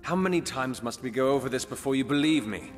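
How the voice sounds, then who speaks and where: A man's voice: medium pitch, expressive, fast, close to an everyday voice. A man playing a weary, defensive investigator in a fantasy video game, with a terse, guarded delivery. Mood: exasperated. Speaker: voice actor. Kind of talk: dramatic video game voice acting. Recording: long hall reverb, music behind.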